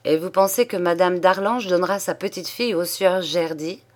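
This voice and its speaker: A woman's voice: fairly low pitch, moderate pace, close to an everyday voice. A haughty old nobleman , as a woman reader puts him on, who speaks with cutting irony and swings from cold scorn to outbursts. His storybook voice carries the weight of an imperious, easily provoked father. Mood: ironic, scornful. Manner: haughty and ironic, flaring into shouts. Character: proud, hot-tempered old male aristocrat.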